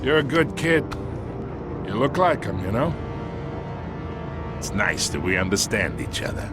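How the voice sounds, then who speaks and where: An actor playing a smug, menacing mob boss in a video game, slangy and folksy, mock-polite one moment and bullying the next. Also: male voice, lowish voice, very animated, a little slow. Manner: slangy, swaggering, mock-polite then threatening. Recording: music behind the voice.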